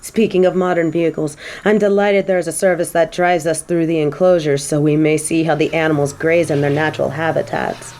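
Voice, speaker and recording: A woman playing a snobby but secretly soft fallen angel in a scripted audio roleplay, with prim, formal phrasing. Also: deep voice, fairly even intonation, brisk, few pauses. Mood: awed, chatty.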